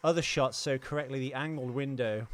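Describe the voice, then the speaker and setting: A man's voice: mid-range voice, brisk. A British man co-hosting a film podcast, explaining things in an informal, jokey, conversational way. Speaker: podcast co-host. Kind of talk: casual film commentary chat.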